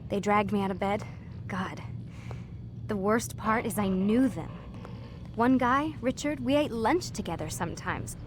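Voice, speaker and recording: A woman's voice: mid-range voice, a little slow, background noise, natural voice. Actress as earnest, weary young woman scientist. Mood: troubled, confiding. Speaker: video game character, scientist. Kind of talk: naturalistic game dialogue.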